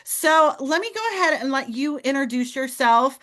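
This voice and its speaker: A woman's voice: high-pitched, moderate pace, a bit bright. A woman hosting a team call, upbeat and enthusiastic, with a motivational manner. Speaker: host.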